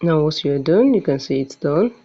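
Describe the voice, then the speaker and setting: A man's voice: on the high side, lively intonation, moderate pace, dark tone. A man presenting a step-by-step app tutorial, often repeating and restarting his phrases on a muffled recording. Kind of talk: step-by-step screen tutorial narration.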